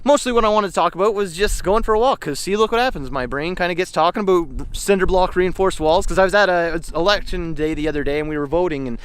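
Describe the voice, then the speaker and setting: A man's voice: high-pitched, fast, few pauses. A man talking casually as a vlog host, thinking aloud in an informal, digressive monologue while out on a walk. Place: outdoors, on a walk. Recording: clear background noise, outdoor ambience.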